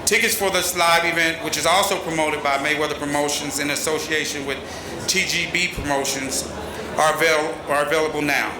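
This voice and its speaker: A man's voice: a little high, fairly even intonation, a little fast. Formal press conference announcer man. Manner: formal, scripted delivery. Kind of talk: reading announcements. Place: large arena press conference stage. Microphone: podium microphone. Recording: echoey hall with noise.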